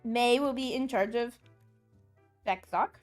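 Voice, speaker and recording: Chatty woman streamer, fairly high voice. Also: takes it slowly, faint music behind. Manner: chatty, reads game text aloud, laughs often. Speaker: streamer host. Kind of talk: casual gaming chat.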